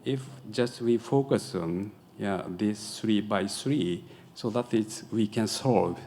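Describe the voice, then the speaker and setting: A middle-aged man with a Japanese accent gives a conference presentation, haltingly searching for words in English as a non-native speaker. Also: lowish voice, a little mellow. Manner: halting, searching for words, frequent filler "yeah". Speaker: professor presenting design research. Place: lecture hall at a podium. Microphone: podium microphone. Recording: echoey hall, audible background noise.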